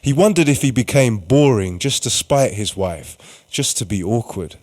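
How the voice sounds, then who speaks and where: A man's voice: medium pitch, lively intonation, moderate pace, darkish tone. A man reading scripted, dramatic narration for a radio play. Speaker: narrator and writer-performer.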